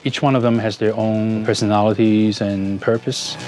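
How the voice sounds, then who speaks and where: A man's voice: lowish voice, steady tone, normal speed, rough recording. A middle-aged man from Hong Kong with a Hong Kong accent, telling his story reflectively as a car enthusiast in a documentary interview. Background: background music.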